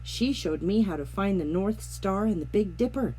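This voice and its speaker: A woman reading a children's picture book aloud, narrating with gentle, expressive storytelling. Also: medium pitch, moderate pace, a little hiss or hum, low-quality recording. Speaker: storybook reader.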